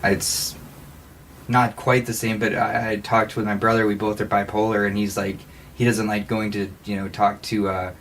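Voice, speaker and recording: A man talking casually as a podcast co-host, halting often as he searches for words. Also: fairly low pitch, flat delivery, fairly bright tone, slight background noise. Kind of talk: casual conversational talk.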